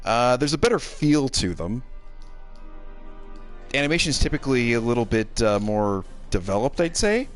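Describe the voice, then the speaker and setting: A man's voice: mid-range voice, very animated, normal speed. A man giving casual, grumbling and sarcastic commentary while playing a video game with a friend. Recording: game music behind the voice. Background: video game music.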